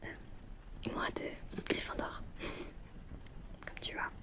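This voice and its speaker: A woman's voice: takes it slowly, natural voice. A woman playing a friendly, chatty, slightly distracted teenage schoolgirl in a whispered ASMR roleplay, speaking in casual, hesitant student slang. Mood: chatty, curious. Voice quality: soft, breathy whisper.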